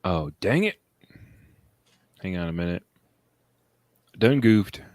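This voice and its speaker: A man hosts a casual film podcast in an unhurried, drawn-out way. His voice sits low and he rambles, laughing often. The sound is close and dry, with no room around it. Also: animated, a little mellow, dry recording. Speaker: podcast host.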